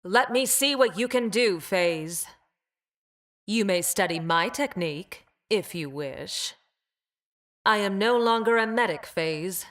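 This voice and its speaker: A woman voicing a cold, haughty, cat-like female bioweapon in a video game, speaking formally with measured, precise enunciation and clinical detachment. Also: medium pitch, animated, a bit bright. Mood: stern, formal. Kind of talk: video game character voice lines.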